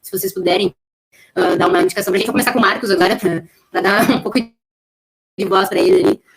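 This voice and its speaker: A woman's voice: mid-range voice, steady tone, fast, vocal fry. A woman hosting a casual interview, putting informal, loosely built questions to guests. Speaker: podcast host interviewing guests.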